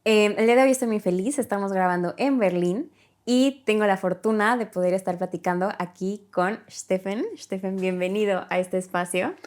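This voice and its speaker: A woman's voice: medium pitch, normal speed, darkish tone. A woman hosting a podcast interview, friendly and chatty. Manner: friendly, chatty, laughs easily. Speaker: podcast host. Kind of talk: casual conversational interview. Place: recording in Berlin.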